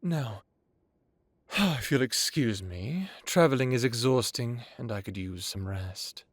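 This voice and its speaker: A man playing an ancient, courteous, world-weary vampire lord in an audio roleplay, speaking in a measured, knowing, genteel way. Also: medium pitch, animated, dry recording. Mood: courtly, tired. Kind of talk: dramatic audio roleplay acting.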